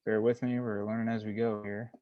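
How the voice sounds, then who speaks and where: Relaxed man hosting an online reading. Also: on the low side, brisk, lo-fi sound. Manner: relaxed, with many fillers. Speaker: open mic host.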